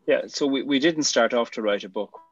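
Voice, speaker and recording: A man's voice: mid-range voice, brisk, muffled recording. A man from Ireland with an Irish accent, speaking as a guest in a reflective conversation and acting out the quotes in his stories. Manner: animated storyteller, acts out quotes.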